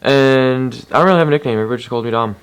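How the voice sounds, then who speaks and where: A 23-year-old man in a casual interview, relaxed and enthusiastic. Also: medium pitch, racing, smooth.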